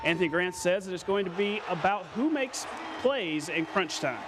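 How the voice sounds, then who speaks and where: A man's voice: high voice, normal speed, noisy background. An American man anchoring a local TV sportscast, reading scripted news with playful, conversational asides.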